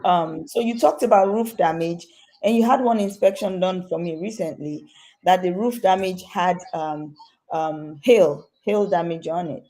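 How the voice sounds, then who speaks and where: A woman with a lowish, mellow voice hosts an interview and turns to address her viewers directly. Her questions wander a little, with frequent "um" fillers. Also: unhurried. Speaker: real estate host. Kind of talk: interview hosting.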